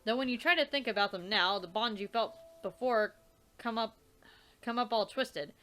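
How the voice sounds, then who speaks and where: A woman's voice: fairly high pitch, normal speed, a bit bright, faint music behind. A woman hosting a let's play, reading the game's narration aloud and commenting with exasperated swearing and laughter.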